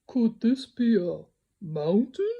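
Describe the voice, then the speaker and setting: A woman's voice: fairly high pitch, big pitch swings, slow pace, phone-quality sound, full character voice. A woman voicing a small, innocent, wistful toy bear in a children's storybook. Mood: wondering.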